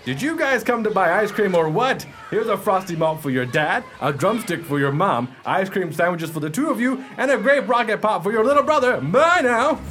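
A man's voice: high voice, expressive, moderate pace, hardly any pauses, a bit bright, a little hiss or hum. A man acting in a radio play as a brash, bossy veteran ice cream salesman with a hustler's patter. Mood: chirpy selling.

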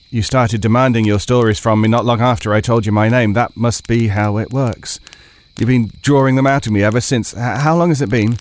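A low male voice an actor performing a weary, frightened camper in an audio horror tale. He confesses haltingly and broodingly, as if worn down by dread. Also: close to an everyday voice. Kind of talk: first-person dramatic audio horror storytelling.

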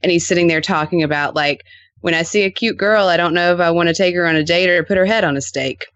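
A woman chatting casually as a podcast guest, telling animated anecdotes and acting out reactions in quotes. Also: fairly low pitch, fast, dry recording, highs cut off. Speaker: podcast co-host appearing as guest. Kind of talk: casual interview chat.

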